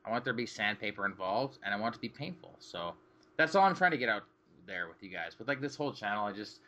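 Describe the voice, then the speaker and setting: Low, bright-edged male podcast host. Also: quick pace. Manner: crude, deadpan joking. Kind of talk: casual profane chat. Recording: dull lo-fi audio with faint hiss.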